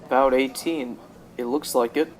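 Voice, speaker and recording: A man's voice: medium pitch, steady tone, moderate pace, natural voice, rough recording. A young man acting in a radio drama as a defensive, troubled young company executive. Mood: hesitant, flustered. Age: teenager.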